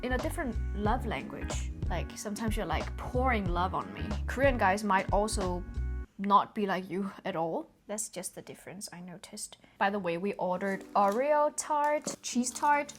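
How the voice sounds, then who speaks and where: A woman's voice: medium pitch, expressive, moderate pace, soft background music. A young woman from Denmark, a native Danish speaker, chatting candidly as a vlogger and telling stories. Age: 20s. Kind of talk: casual vlog chat.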